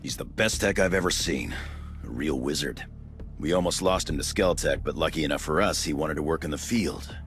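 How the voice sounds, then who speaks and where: An actor playing a seasoned, wry special forces team leader in a game, steady, dry and commanding. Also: male voice, a bass voice, fairly quick, soft background music. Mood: wry, proud.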